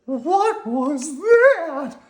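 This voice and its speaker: A woman's voice: sits high, very animated, pitched above the performer's own voice, heavily acted. A woman voicing a small, eager male worm in a children's storybook, exclaiming and stretching out words, easily spooked. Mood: startled. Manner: exclaiming, wide-eyed, drawn-out words.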